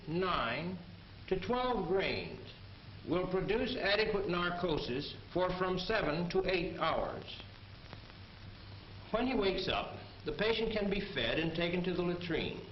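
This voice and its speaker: A man playing an army major who gives a precise, formal medical lecture in a 1940s training film. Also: fairly high pitch. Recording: muffled, echoey, noisy 1940s film soundtrack.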